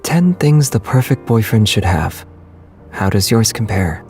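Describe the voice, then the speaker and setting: An actor playing a gentle, quietly confident young man with understated, dry teasing in a romance game. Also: fairly low pitch, sing-song, measured pace, faint music behind. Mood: teasing curiosity.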